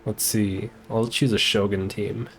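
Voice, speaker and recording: Low-voiced man chatting while gaming. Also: level tone, measured pace, vocal fry, slight background noise. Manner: easygoing, chatting to viewers and himself. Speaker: game streamer. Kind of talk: casual live gameplay commentary.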